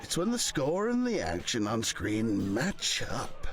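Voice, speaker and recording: A man's voice: mid-range voice, huge pitch swings, measured pace, loud music behind the voice. A man playing a haughty, condescending film snob in a visual novel game, with a pompous, patronizing, theatrical manner. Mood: exasperated, lecturing.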